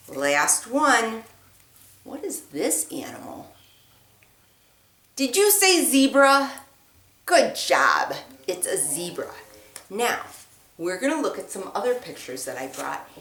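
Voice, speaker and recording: A woman teaching young children a rhyming lesson in a slow, sing-song voice with lots of praise. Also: mid-range voice, a bit bright, reverberant.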